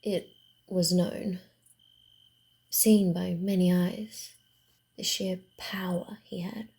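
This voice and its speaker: Woman playing a sly, creaky-voiced female vampire. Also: fairly low pitch, little pitch movement. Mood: ominous storytelling. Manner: theatrical, hushed, deliberate with breathy asides. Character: sly, guarded female vampire, centuries-weary, teasing and secretive. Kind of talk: ASMR roleplay voice acting.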